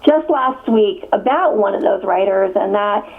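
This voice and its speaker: A woman's voice: mid-range voice, expressive, measured pace. A woman from Connecticut, an author, answering interview questions in a chatty way, full of fillers and restarts and frequent laughs. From Connecticut, USA. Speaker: author guest. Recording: tinny phone line.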